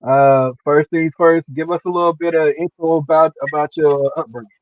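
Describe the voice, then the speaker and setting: A man hosting a casual interview over a video call, earnest and halting, often repeating himself. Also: on the high side, fairly even intonation, no room sound. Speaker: host interviewer. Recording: dull lo-fi stream audio.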